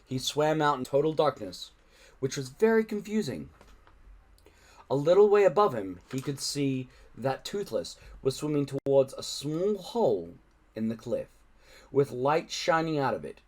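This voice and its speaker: A man reading a children's adventure book aloud in an expressive narrating style. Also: mid-range voice, unhurried. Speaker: audiobook-style reader.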